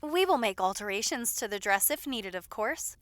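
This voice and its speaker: A woman playing a caring, loyal lady's maid in an audio roleplay, attentive and gently scolding with polite familiarity. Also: on the high side, expressive, normal speed, no room sound, studio quality. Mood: reassuring.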